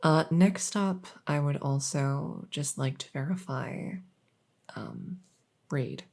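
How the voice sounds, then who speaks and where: A woman playing a polite, hesitant, matter-of-fact night vet in an ASMR audio roleplay, with a creaky voice. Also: low-pitched, takes it slowly, a little mellow, full character voice. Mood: professional, reassuring. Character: soft-spoken, polite night vet receiving a supernatural patient.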